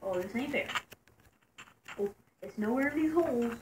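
A teenage girl playing a game with a friend, argumentative and often muttering low. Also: expressive, normal speed, darkish tone, a little hiss or hum. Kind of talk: casual chat while gaming.

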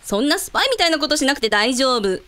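A breezy, self-assured woman voiced by an actress in a radio drama. She teases and takes charge in a high-pitched, very lively voice. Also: quick pace, full character voice. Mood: assured, persuasive. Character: breezy, confident, teasing woman taking charge.

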